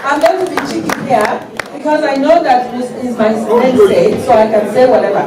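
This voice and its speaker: A woman's voice: high voice, steady tone, brisk, noisy background. A woman acting as MC, announcing to a live audience at a community event. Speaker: event host, MC. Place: large indoor hall at a community gathering. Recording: echoey hall, distant mic, loud crowd.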